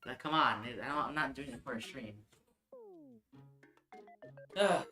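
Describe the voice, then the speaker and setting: Streamer type: bright, sharp tone, talks in loose rambling bursts with restarts, echoey room, faint game music behind. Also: mid-range voice, a little slow. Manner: rambling, thinks aloud, frequent restarts. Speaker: live streamer. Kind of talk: casual live-stream chat while gaming.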